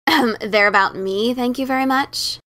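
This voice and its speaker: A woman's voice: fairly high pitch, normal speed, bright, forceful tone. A woman voicing a brash, teasing adventurer pony mare with a scratchy voice in a dramatic reading. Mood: mocking. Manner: cocky, teasing, informal. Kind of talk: dramatic reading of a fan story.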